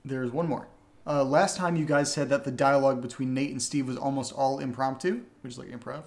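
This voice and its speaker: A man's voice: medium pitch, fairly even intonation, fairly quick. A man co-hosting a podcast, reading out listener questions and chatting casually with playful, wry teasing. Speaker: audio drama podcast co-host.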